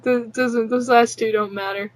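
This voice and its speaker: A woman's voice: fairly high pitch, fairly even intonation, normal speed, slight background noise. A woman hosting a podcast, chatting informally and reading fan fiction aloud in an amateur, sweary, offhand way. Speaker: podcast host.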